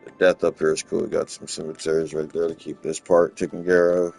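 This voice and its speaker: A man from Los Angeles, casually talking through his game in a rambling, relaxed way, often repeating words. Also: deep voice, a little fast, creaky, faint music behind. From Los Angeles, USA. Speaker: gaming channel host. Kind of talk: casual gameplay walkthrough commentary.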